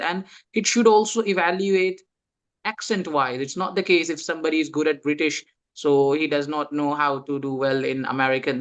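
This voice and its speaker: A man's voice: a little high, fairly bright tone. A man attending a webinar, politely asking a question with many hesitations and fillers. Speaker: webinar participant.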